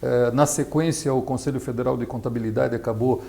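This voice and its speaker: Middle-aged man, creaky voice, medium pitch, didactic interview guest, halting delivery. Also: steady tone, dark tone. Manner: halting, didactic, frequent tag questions. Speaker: interview guest, accountant and nonprofit consultant. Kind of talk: explanatory interview talk.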